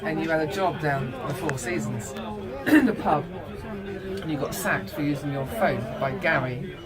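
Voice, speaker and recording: A woman's voice: sits low, normal speed. Middle-aged woman storyteller, chatter behind. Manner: animated, acts out dialogue. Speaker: mother telling family stories. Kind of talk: casual anecdote-telling.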